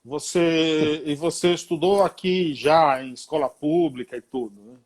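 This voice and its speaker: Chatty male interview host with a husky, somewhat high voice. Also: measured pace, darkish tone. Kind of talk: casual interview chat.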